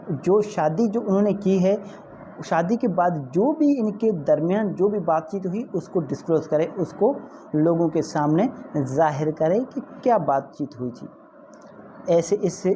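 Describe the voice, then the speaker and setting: A man's voice: high-pitched, mellow. A man with a Pakistani accent giving an informal law lecture, repeating points and often checking that students follow. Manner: repetitive explaining with frequent comprehension checks. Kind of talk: informal exam-prep lecture mixing in English legal terms. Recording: muffled lo-fi audio with faint background noise.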